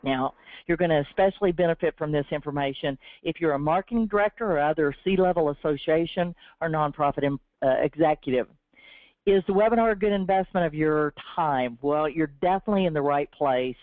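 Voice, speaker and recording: A woman with a sore, slightly raspy throat presents a webinar from a prepared script in an earnest, encouraging way. Her voice comes through a thin, phone-like line with no room sound around it. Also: low-pitched, a little fast, no echo. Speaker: webinar host from a business development team. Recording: tinny, dry phone-line audio.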